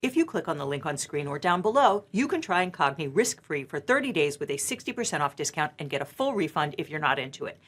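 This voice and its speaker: A woman lawyer hosts the show and delivers scripted legal commentary with a wry, sardonic edge. Her voice sits low for a woman. Her pitch moves in a lively, animated way. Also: quick pace, few pauses.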